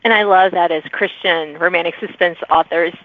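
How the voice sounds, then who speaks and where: A woman's voice: on the low side, fairly even intonation, normal speed, narrow, tinny audio. A woman hosting a conversational podcast interview with authors. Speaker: podcast host.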